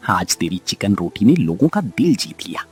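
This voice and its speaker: Cartoon role: man voicing an elderly father, preachy and proud, lively low voice. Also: moderate pace, soft background music. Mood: proud, advising. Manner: advising, preachy.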